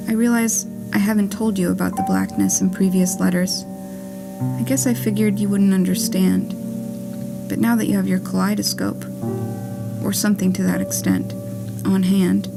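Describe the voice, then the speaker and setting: A woman's voice: medium pitch, gravelly. A woman reading a scripted, letter-like monologue for a puppet play in a wry, plainspoken storytelling way. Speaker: reader of own written play. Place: live theatre performance with music. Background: music.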